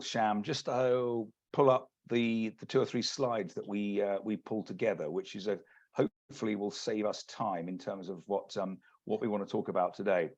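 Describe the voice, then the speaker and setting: British male presenter, measured and businesslike, low voice, lo-fi call sound. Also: a little mellow. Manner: measured, businesslike, many restarts. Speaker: executive search partner. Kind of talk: webinar presentation. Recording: lo-fi video call audio.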